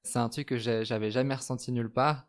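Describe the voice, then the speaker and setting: Soft-spoken young man, hesitant. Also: mid-range voice, fairly even intonation, fast. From France. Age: 20s. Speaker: guest. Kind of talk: reflective personal testimony.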